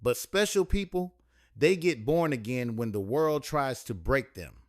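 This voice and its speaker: A man reading the part of a warm, loving middle-aged mother who speaks in soothing, folksy phrases. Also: medium pitch, lively intonation, unhurried, no echo, studio quality, natural voice. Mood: tender.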